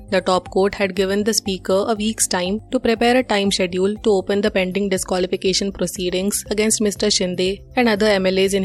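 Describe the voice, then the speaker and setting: A woman with an Indian accent presents a scripted news bulletin as the newsreader. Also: medium pitch.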